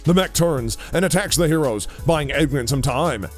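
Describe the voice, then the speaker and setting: A man's voice: fairly high pitch, normal speed. A man narrating a scripted audio drama story. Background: music.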